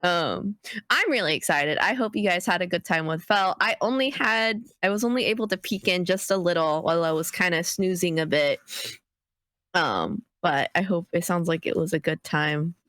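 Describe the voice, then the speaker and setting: A woman's voice: on the low side, normal speed, a bit bright, no echo, clean studio sound. A woman chatting on a livestream with a congested, phlegmy voice, rambling and giggling as she talks. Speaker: player and streamer. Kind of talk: casual livestream chat.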